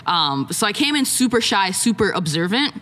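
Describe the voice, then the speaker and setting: A young adult woman from Jamaica, a podcast guest telling her story in casual chat, outgoing and animated, acting out the voices she quotes. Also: mid-range voice, moderate pace, a little hiss or hum.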